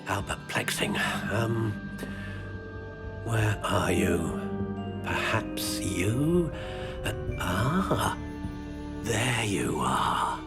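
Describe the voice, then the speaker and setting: Slow, fussy old wandmaker. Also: male voice, on the low side, sing-song, heavily acted. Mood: intrigued, delighted. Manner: murmuring hesitations, musing aloud, exclaiming. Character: elderly eccentric male wandmaker, gentle, fussy, absorbed. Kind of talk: theatrical video game cutscene acting. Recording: music behind the voice.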